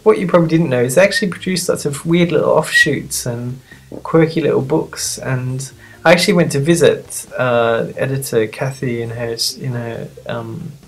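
A sniffly magazine reviewer of medium-pitched voice chatting casually off the cuff, rambling, playful and self-deprecating. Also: poor audio. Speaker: magazine reviewer and video host.

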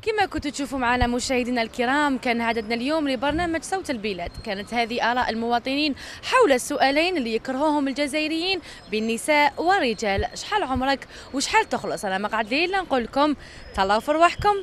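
A young Algerian woman reporting from the street. Her voice is high and she talks very quickly into a handheld mic, with faint city noise behind her. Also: animated, a bit bright. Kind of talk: vox pop interviewing. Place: outdoors on a busy city street. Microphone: handheld microphone.

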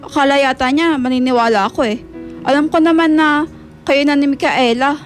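A high-pitched, brash young college girl acted by a woman in a radio drama. She taunts and accuses in a teasing way, over a faint music bed. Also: brisk, close to an everyday voice. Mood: accusing, scornful. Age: young adult.

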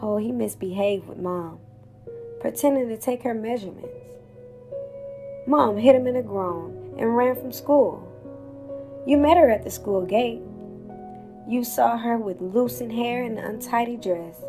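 A woman's voice: a little high, unhurried, pauses often, mellow, soft background music. A woman playing an earnest teenage daughter voicing her letter in an audio drama. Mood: earnest, sorrowful.

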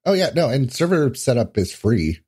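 Mellow, husky male podcast guest. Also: medium pitch, very animated, normal speed. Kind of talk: casual, profane chat.

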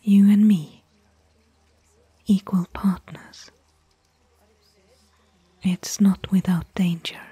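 Slow, breathy whispering woman as a bookish explorer. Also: medium pitch, steady tone, dry recording, heavily acted. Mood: quietly earnest. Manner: slow, gentle, pausing between short phrases. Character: gentle, bookish female desert explorer, caring companion. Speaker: ASMR roleplay performer. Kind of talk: soft whispered ASMR roleplay.

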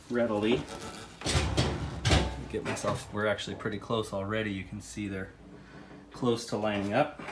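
A man in his thirties calmly explaining a home renovation step by step, talking while he films on a phone. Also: medium pitch, background noise. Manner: relaxed, explains step by step with fillers. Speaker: DIY renovation teacher. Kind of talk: casual instructional talk. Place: indoors, first in a car, then a house under renovation. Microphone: phone camera, often held while working.

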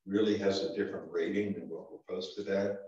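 A man's voice: deep voice, fairly even intonation, brisk, dark tone. An older man with a Vermont accent chairing a small-town board meeting, hesitant with many ums and restarts. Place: town office meeting room. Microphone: far room camera. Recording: echoey room from far off, dull sound.

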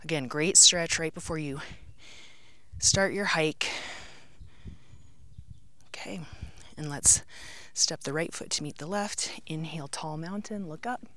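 A middle-aged woman with a slightly low voice guides a yoga class. She gives instructions in short, measured phrases with pauses between them, calling out each pose and breath as she moves through it herself. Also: bright, forceful tone, dry recording. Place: outdoors on an open rocky canyon rim.

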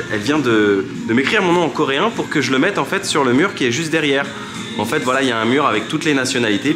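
A man in his thirties chatting enthusiastically to viewers as a travel vlogger, filming himself at arm's length in a small restaurant. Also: mid-range voice. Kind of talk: casual travel vlog. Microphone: handheld camera at arm's length. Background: background music.

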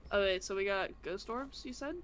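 A woman's voice: mid-range voice, moderate pace, slight background noise. Teasing woman streamer playing a game. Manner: teasing, laughs often. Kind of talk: casual co-op game chat. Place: home room, indoors.

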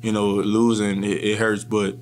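A young man in his twenties answers post-game questions at a press table. His voice sits fairly low and stays flat, and he keeps it calm and measured with frequent restarts. A faint hum runs under the table microphones. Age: 20s. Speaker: college football player. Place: press room in front of a sponsor backdrop. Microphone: table microphones in front of him.

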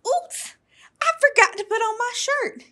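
Woman voicing an excitable high-pitched little boy frog. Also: unhurried, heavily acted. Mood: excited, eager. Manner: exclaiming, crying out. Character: excitable young boy frog eager to play.

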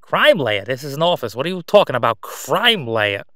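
A man plays a cocky gangster boss in an audio drama. He sneers through folksy, wisecracking patter in a clean, smooth voice, recorded dry and close. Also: medium pitch, sing-song, normal speed, pushed and bright, dry recording. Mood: gloating, mocking.

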